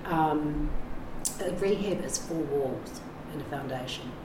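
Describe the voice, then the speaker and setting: Middle-aged New woman, very deep voice, frank and rambling, echoey room with noise. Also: slow pace. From New Zealand. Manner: frank, rambling, many fillers and restarts. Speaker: addiction recovery navigator. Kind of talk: candid interview talk.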